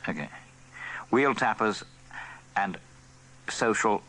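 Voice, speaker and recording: A man's voice: on the low side, lively intonation, slow pace, pushed and bright, slight background noise, low-quality recording. A man reading and retrying lines in a radio outtake.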